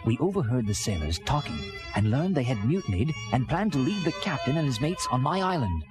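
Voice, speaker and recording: A man's voice: medium pitch, a little fast, close to an everyday voice. An actor playing an earnest castaway adventurer who tells his story theatrically, in the manner of a dramatized children's read-along. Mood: earnest, reflective. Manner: animated, theatrical delivery. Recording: vintage record with music behind. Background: music.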